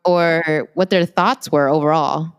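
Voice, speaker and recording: A young woman with a low, smooth, resonant voice talks through old family memories in an easy, reflective manner. Her voice rings with a long reverb, as if from a hard-walled room. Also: fairly even intonation. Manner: reflective, unhurried storytelling. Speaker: podcast co-host. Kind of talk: casual chat.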